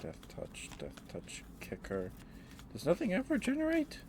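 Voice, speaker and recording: A man's voice: high-pitched, huge pitch swings, normal speed. A man streaming rambling, hesitant ASMR chat in a meandering way, close to the microphone. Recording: clearly noisy room ambience.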